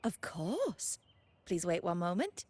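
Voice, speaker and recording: Courteous, lilting arena clerk. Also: female voice, on the low side, very animated, slow, a little mellow, no echo, heavily acted. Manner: courteous, official. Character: polite, formal female arena registration clerk. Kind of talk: video game voice acting.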